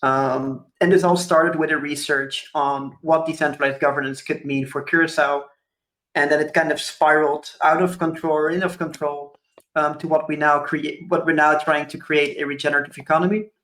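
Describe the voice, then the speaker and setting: A man's voice: fairly high pitch, level tone, normal speed, fairly bright tone. Young Caribbean-born man presenting, roomy sound. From Curaçao. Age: 20s. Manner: thoughtful, many ums and kind-ofs. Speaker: conference speaker. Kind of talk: presentation talk. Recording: roomy echo.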